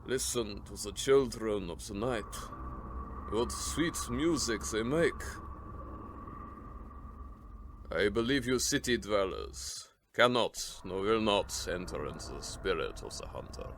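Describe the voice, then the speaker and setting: A sinister vampire nobleman, speaks with ceremonious courtesy. His delivery is formal and deliberate, in radio drama style, over a faint bed of background noise. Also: lowish voice, expressive, a bit bright. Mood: courteous, ominous.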